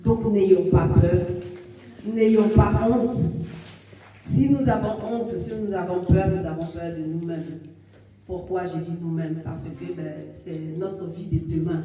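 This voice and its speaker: Woman in her fifties, church testimony, fervent, mellow voice, heavy echo, distant mic. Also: on the low side. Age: 50s. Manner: fervent, exhorting, with rising emphatic bursts. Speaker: church member giving testimony. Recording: echoey church hall picked up from a distance.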